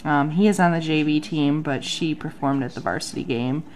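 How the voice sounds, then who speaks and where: A woman's voice: low-pitched, moderate pace, a little mellow. A woman in her thirties with a nasal voice from a sinus infection, chatting casually through her journal at a home desk with lots of ums. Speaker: bullet journal vlogger. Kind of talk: casual chat. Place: home desk under an overhead light.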